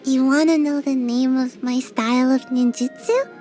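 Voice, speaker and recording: A woman's voice: sits high, steady tone, normal speed, warm tone, faint music behind, close to an everyday voice. A woman voicing a tiny, sleepy young ninja girl in a game, drowsy and a little whiny, shy but grumpy. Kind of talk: game character voice lines.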